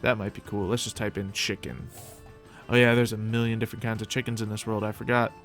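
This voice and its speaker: A young man hosting a modded game roleplay, chatting casually as he plays and talks to his pet dragon, with soft music behind him. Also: on the low side, steady tone, fast. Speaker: roleplay gameplay host. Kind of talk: casual gameplay roleplay commentary.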